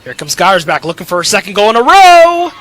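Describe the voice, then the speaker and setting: A man's voice: high voice, big pitch swings, fairly quick, a bit bright. A young man calling play-by-play sports commentary as an announcer. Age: young adult.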